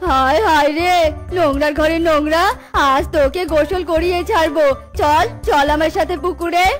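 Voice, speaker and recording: A woman voicing a bossy, scolding animal wife in a comic cartoon, sharp-tongued and exaggerated. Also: sits high, fairly even intonation, normal speed, soft background music, natural voice. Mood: angry scolding.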